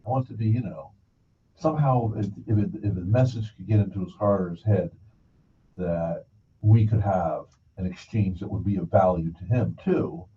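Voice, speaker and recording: A man's voice: deep voice, moderate pace. A man hosting a broadcast, chatting casually in a folksy, rambling storytelling way and acting out what people said. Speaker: broadcast show host. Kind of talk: casual rambling anecdotal conversation. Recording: dull, distant mic, faint noise.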